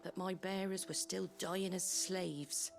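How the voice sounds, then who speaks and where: A woman playing a brisk, plain-spoken British innkeeper in a video game, earthy, colloquial and wry, tough but kindly. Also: fairly low pitch, steady tone, creaky. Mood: reflective, warm. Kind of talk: video game character dialogue. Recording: game music behind the voice.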